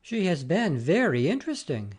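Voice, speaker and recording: Man playing a nervous, formal gentleman visitor. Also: a little high, big pitch swings, moderate pace, slightly rough. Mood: polite, uneasy. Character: nervous, earnest gentleman visitor, preoccupied with ailments. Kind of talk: dramatic audiobook reading.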